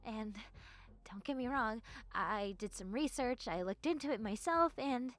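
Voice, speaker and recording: A woman playing a shy, sweet young goth best friend in an intimate audio roleplay, soft-spoken and breathy, with hesitant, stammering speech. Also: a little high, expressive, measured pace. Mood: nervous, bashful.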